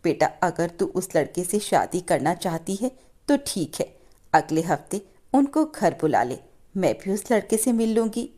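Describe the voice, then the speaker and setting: A woman voicing a gentle, self-sacrificing mother in a moral story, speaking in a soothing, motherly way. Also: lowish voice, animated, measured pace. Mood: touched, approving. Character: gentle self-sacrificing poor widowed mother, reassuring her daughters. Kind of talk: animated moral story dialogue.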